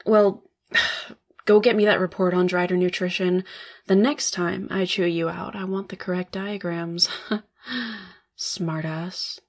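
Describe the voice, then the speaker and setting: A woman's voice: fairly low pitch, fairly even intonation, slow pace. A woman playing a cold, calculating spider-woman law boss in an ASMR roleplay, sardonic and condescending. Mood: haughty, mocking. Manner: sardonic, condescending, drawling with smug chuckles.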